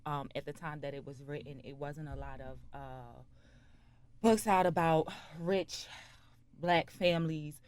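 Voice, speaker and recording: A woman's voice: deep voice, measured pace, darkish tone. A woman interview guest, a writer and director, explaining a story in a studio interview.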